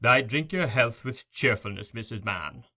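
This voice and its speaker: A man's voice: medium pitch, animated, moderate pace, bright, forceful tone. A man voicing a pompous, self-important parish officer who blusters and speechifies. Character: pompous fat choleric male parish beadle.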